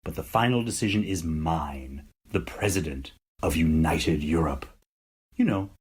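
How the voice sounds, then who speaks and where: Man playing a husky, pompous, swooping president. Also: low-pitched, full character voice. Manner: pompous, dismissive politician's oratory. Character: evasive, self-important male president of United Europe. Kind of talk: audio drama acting, political interview.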